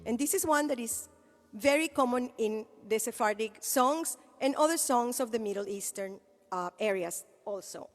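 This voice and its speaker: A woman's voice: fairly high pitch, moderate pace, big-room sound. A woman singer hosting a children's sing-along concert, addressing the young audience.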